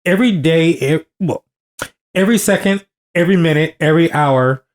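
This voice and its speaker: Earnest man in his thirties, fairly high voice. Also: moderate pace. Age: 30s. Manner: earnest, repeats himself for emphasis. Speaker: podcast co-host. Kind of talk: casual podcast conversation.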